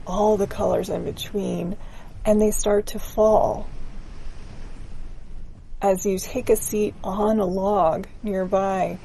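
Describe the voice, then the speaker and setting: A woman narrating a scripted guided meditation in a gentle, soothing, unhurried manner. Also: mid-range voice, slight background noise, poor audio.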